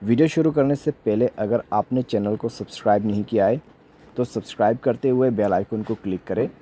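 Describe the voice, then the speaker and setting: A man's voice: on the low side, fairly quick, mellow, husky. A man giving a step-by-step phone troubleshooting tutorial as a voice-over in an instructional way. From India. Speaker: tech tutorial host.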